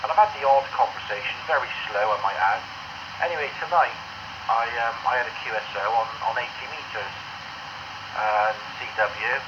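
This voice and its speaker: An English man chats casually over amateur radio. He rambles in a self-deprecating way. The transmission is muffled, with clear noise around the voice. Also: mid-range voice, moderate pace. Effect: two-way radio transmission.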